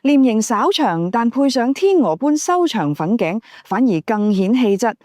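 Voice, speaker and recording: A woman's voice: fairly high pitch, sing-song, measured pace, darkish tone, no room sound, clean studio sound. A woman reading a scripted dramatic novel as an audiobook narrator.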